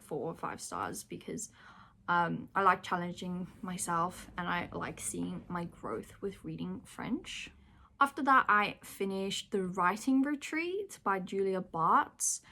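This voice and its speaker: A woman's voice: mid-range voice, fairly bright tone. A woman whose first language is Afrikaans, chatting casually to camera about the books she has read, with lots of ums. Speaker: booktuber. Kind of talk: casual chat. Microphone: phone camera.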